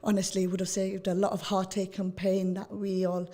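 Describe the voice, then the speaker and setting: A woman hosting a radio interview, chatty and candid, with a naturally loud voice. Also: medium pitch, little pitch movement, fairly quick. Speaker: radio host. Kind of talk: casual radio interview chat.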